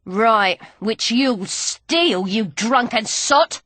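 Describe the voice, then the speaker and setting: A woman voicing a fierce, scrappy young woman who snaps back in sharp, heated bursts. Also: fairly high pitch, lively intonation, very slow, dry recording, exaggerated voice. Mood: indignant.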